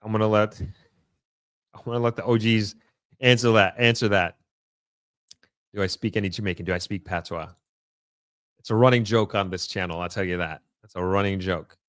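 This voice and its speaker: Tired, low-pitched man chatting casually. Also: fairly even intonation, fairly bright tone, no echo, studio quality. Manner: informal, halting, thinking aloud. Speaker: livestream host. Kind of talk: casual livestream chat, reading out viewer comments.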